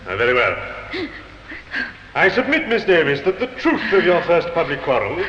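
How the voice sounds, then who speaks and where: A muffled, echoey old radio recording of a stern male prosecutor. He is accusing and insistent, his fairly high voice swinging animatedly. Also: huge pitch swings, normal speed, slight background noise, full character voice. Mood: stern, probing. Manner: sharp, rhetorical, insistent. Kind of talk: 1950s radio drama acting.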